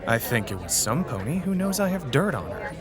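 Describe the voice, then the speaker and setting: Dude playing a shifty pony petty thief, super animated, defensive and pleading, music and crowd behind him. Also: male voice, mid-range voice, fairly quick, natural voice. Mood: evasive, pleading. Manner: evasive, hesitant, pleading. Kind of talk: audio drama dialogue.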